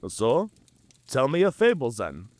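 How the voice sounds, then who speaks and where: A man's voice: medium pitch, huge pitch swings, measured pace, a little mellow. A man playing a proud, curious werewolf outcast in an audio drama, with an emphatic, theatrical delivery. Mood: teasing, curious. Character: proud, curious male werewolf outcast, devoted to pack tradition. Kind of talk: cinematic audio roleplay acting.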